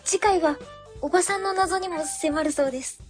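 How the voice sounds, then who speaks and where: Woman performing meek young female narrator, high pitch, polite, radio drama, backing music. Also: normal speed. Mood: earnest narrating.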